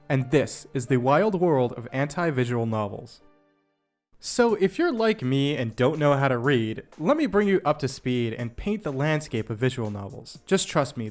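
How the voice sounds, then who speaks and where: A man narrating a scripted video essay in a casual, jokey, sarcastic and self-deprecating way, with slangy phrasing. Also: medium pitch. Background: background music.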